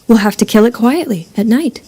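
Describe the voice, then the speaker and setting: Woman playing commanding female outlaw leader, pragmatic, persuasive, slightly high pitch, soft dark tone, western audio drama. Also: lively intonation, brisk, slightly rough. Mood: pragmatic, scheming. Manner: firm, persuasive. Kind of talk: live-streamed audio drama acting.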